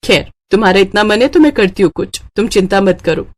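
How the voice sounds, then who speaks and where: A woman voicing a kind, dutiful young doctor in a cartoon story, speaking earnestly and gently. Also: medium pitch, expressive, fairly quick, close to an everyday voice. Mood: caring, reassuring. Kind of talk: Hindi cartoon moral story acting.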